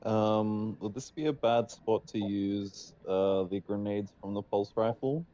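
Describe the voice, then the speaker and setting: Low, slow, mellow man at a game table. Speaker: tabletop role-playing game player. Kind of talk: casual game-table chat. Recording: dull, muffled audio.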